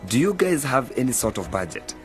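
An actor playing a young university student who patiently explains things in a measured way in an educational radio drama. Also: male voice, medium pitch, sing-song, faint music behind, lightly acted. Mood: patient, instructive. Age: young adult. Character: calm, knowledgeable male university student mentor. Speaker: university journalism student mentoring novices.

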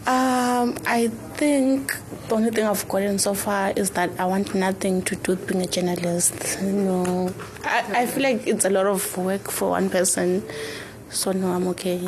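A woman's voice: medium pitch, fairly even intonation, moderate pace, noisy background. A woman in her twenties from Johannesburg, a journalism student, offhand and dry in a casual interview. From Johannesburg, South Africa. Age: 20s.

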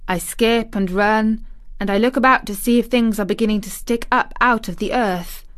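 A woman's voice: a little high, natural voice. A woman voicing a timid, earnest little girl in a storybook, anxious and a little stiff. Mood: anxious, eager. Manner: halting, stammering when nervous.

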